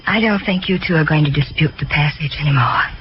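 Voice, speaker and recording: A woman acting a tender, adoring sweetheart in a breathy half-whisper for a 1940s radio drama. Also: low-pitched, fast, slight background noise, lightly acted. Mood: soothing. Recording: muffled old radio recording, music behind.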